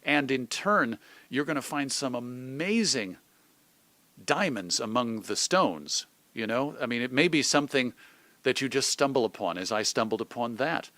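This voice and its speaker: A middle-aged man with an American accent telling stories conversationally as an interview guest, reflective and a little halting. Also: medium pitch, lively intonation, fairly bright tone, no room sound. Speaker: radio host being interviewed.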